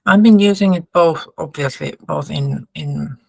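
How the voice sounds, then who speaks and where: A woman with a Finnish accent, a podcast host asking questions gently in a casual interview. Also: low-pitched, huge pitch swings, unhurried, creaky, breathy edge, no room sound, poor audio. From Finland.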